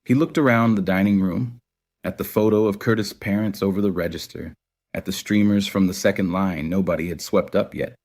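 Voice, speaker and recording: A man's voice: low voice, fairly even intonation, brisk, darkish tone. A man narrating a scripted audio story as its storyteller.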